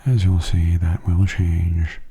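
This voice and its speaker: A man giving soft-spoken, half-whispered ASMR commentary over a video game, in a gentle, unhurried way. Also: low voice, little pitch movement, slightly rough, faint music behind.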